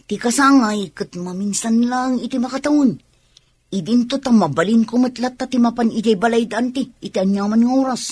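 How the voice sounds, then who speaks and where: Young working niece for radio drama: husky, dry close sound, polite on the surface, reluctant underneath. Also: female voice, fairly high pitch, a little fast, a little mellow, no echo.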